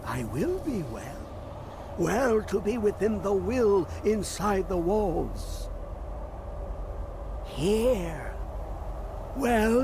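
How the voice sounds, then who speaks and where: Crazed old hermit scholar, high-pitched, slow, singsong, cryptic fantasy game character, noisy ambience. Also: male voice, exaggerated voice. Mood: giddy, rambling. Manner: singsong, erratic, whispering and exclaiming, rambling. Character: mad, rambling old male hermit scholar, rapturous and cryptic. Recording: clear background game ambience noise.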